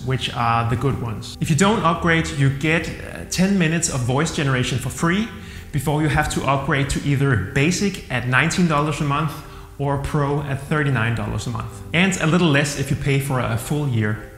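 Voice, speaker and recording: A man in his thirties with a Danish accent reviewing software to camera in an upbeat, plain-spoken way. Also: medium pitch, moderate pace. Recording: roomy hall-like echo with soft music.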